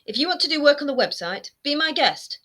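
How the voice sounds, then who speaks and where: A spiteful young man with a short fuse, read out by a woman, snapping and sneering in a raised, bright, forceful voice. Each line comes out hissed and cutting. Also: high-pitched, big pitch swings, fairly quick, voice pushed up. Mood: furious. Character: spiteful young man, resentful and quick-tempered. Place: home room lined with bookshelves. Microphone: webcam framing, seated close to camera.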